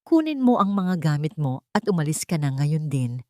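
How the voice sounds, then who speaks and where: A cold master of the house, voiced by a woman narrator gives orders in an audio drama. The delivery is weary, flat and commanding, with a dry, close sound. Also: low voice, normal speed, no echo. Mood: cold, final. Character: cold, weary, wealthy widowed father and master.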